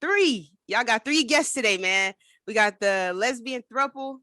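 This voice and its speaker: A woman hosting an interview podcast, talking in an upbeat, casual and lively way. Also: fairly high pitch, a little slow, no room sound, clean studio sound. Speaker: podcast host. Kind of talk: conversational interview.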